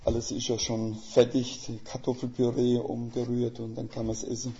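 An older man preaching as a guest speaker in a folksy, plain-spoken way, with a Swiss German dialect and a gravelly voice. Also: medium pitch, monotone, moderate pace, mellow. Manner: folksy, plain-spoken, with homely images and rhetorical questions. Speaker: missionary. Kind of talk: sermon. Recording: slightly dull lo-fi sound.

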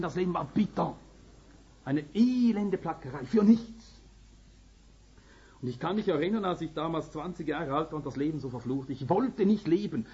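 A man's voice: on the high side, warm tone, slightly rough. A middle-aged or older man from Finland, a native Swedish speaker with a Swedish-speaking Finnish accent, preaching a sermon in an earnest, emphatic manner that climbs to urgent peaks. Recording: slightly dull lo-fi recording.

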